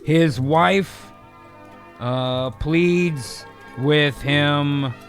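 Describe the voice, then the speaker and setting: A man's voice: on the high side, extremely slow, soft background music. A man hosting and narrating an improvised comedy screenplay in a casual, chatty way. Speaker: host, writer and narrator.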